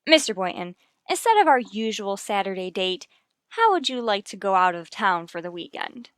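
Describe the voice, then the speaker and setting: An American actress playing a wry, sardonic schoolteacher with dry delivery in a vintage radio sitcom. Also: female voice, mid-range voice, sing-song, normal speed, a bit bright, smooth, dry recording. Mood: dry, coaxing.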